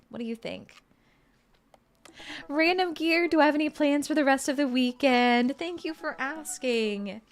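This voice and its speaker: A young woman streaming a game, chatting casually and reading game text aloud in a bubbly way. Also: a little high. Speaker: gaming streamer. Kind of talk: casual let's-play commentary.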